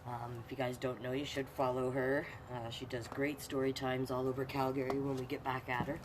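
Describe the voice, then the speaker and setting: Low-voiced woman hosting children's storytime. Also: little pitch movement, fairly quick. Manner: explains pictures and prompts young viewers. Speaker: online storytime host and reader. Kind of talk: children's storytime, reading aloud with chatty asides. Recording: clear background noise.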